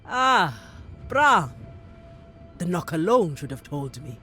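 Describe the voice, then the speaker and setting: An actress playing a commanding, devout warrior priestess in a video game, solemn, direct and deliberate. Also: female voice, lowish voice, big pitch swings, pushed and bright, husky, faint music behind, heavily acted. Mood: wry, direct. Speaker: voice actor. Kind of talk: dramatic video game cutscene acting.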